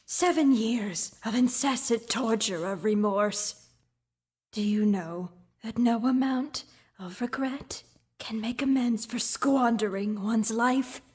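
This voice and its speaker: An actor voicing the mournful ghost of an old businessman bound in chains, in a student radio drama, speaking solemnly and dropping into breathy whispers. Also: very high-pitched, slow pace, darkish tone, exaggerated voice.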